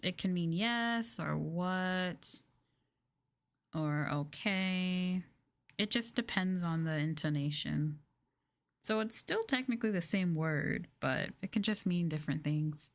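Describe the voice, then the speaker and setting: Soft-spoken American woman, lower-pitched, ASMR chat. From United States. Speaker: streamer, host of a live ASMR stream.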